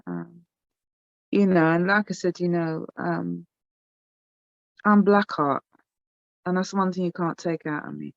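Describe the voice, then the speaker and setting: A woman from England, a singer, answers interview questions calmly and with assurance, sometimes repeating a phrase. The call audio is dry and dull-sounding. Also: on the low side, a little slow, gravelly, pauses often, no echo. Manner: calm, self-assured, repeats herself. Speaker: singer, guest. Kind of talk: casual interview on a video call.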